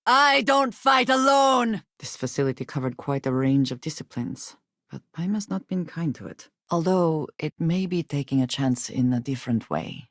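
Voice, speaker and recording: A woman playing a guarded, earnest space explorer in a video game, speaking in measured, formal and precise phrases. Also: deep voice, sing-song, a bit bright, no room sound, clean studio sound, heavily acted. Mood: fierce battle cry, then earnest, thoughtful. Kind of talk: video game character dialogue lines.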